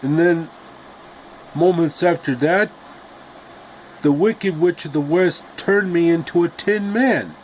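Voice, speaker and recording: A man voicing a wistful tin man from a storybook, rambling and halting as he talks. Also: a little high, slight background noise, rough recording. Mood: forlorn. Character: wistful, chatty tin man pining for lost love.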